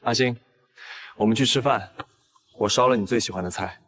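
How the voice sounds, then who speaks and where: A man playing a restrained, protective police captain in an animated drama. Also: mid-range voice, normal speed, breathy edge. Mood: caring. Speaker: police detective. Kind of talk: audio drama acting for an animated story.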